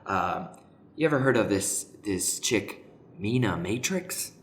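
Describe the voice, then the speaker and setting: A man's voice: fairly low pitch, lively intonation, slow. A man playing a flippant, easily flustered wizard in an improvised comedic radio play, with a theatrical, hesitant manner. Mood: wry, casual. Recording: roomy echo with faint background noise.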